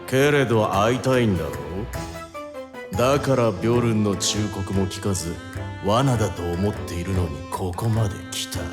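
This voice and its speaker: An actor playing a menacing, knowing male villain in an audio drama, speaking in a deliberate, formal, taunting way. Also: fairly low pitch, lightly acted. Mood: coolly taunting. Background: prominent music.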